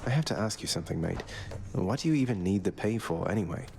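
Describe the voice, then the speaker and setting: Deep-voiced wry young prince. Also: male voice, lively intonation, fast talker, creaky. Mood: dry, wry. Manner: dry, polite. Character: wry rebellious young male underworld prince. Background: loud music.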